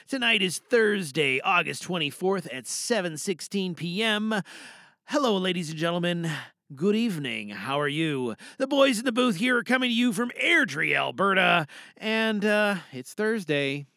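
Male podcast host, very bright and high, swinging pitch, jokey theatrical chat. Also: vocal fry, no echo, studio quality. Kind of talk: chatty show introduction.

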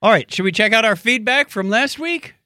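A man's voice: high-pitched, lively intonation, fairly quick, sharp tone, no echo, clean studio sound. An American man co-hosting a podcast in casual chat, with a joking, teasing manner. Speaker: podcast co-host.

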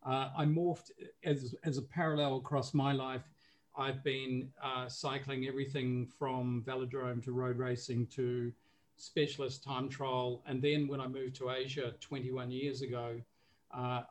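An older man in his sixties speaks with a New Zealand accent, his pitch sitting squarely in the middle for a man. He talks in a halting, casual way, pausing on fillers and restarting his sentences as he gathers his thoughts. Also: a little mellow, poor audio. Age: 60s. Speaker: guest, recovery business owner and coach. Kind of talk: casual podcast interview.

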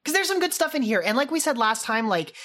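A woman co-hosting a podcast, chatting casually in an excitable, informal way. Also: a little high, quick pace. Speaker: podcast co-host. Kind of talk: casual podcast chat about a radio drama.

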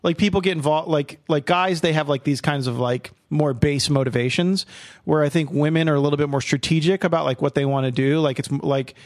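A man hosting a podcast in casual chat. He talks haltingly, full of fillers and restarts. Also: a little high, no echo, clean studio sound. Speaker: podcast host.

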